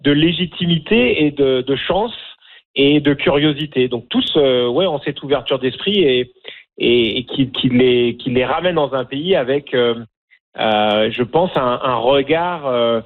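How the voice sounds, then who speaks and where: A man's voice: medium pitch, measured pace. A middle-aged man from France with a French accent, a documentary maker interviewed on radio, speaking hesitantly with many fillers and restarts. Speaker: documentary filmmaker, guest. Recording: tinny phone-line radio sound.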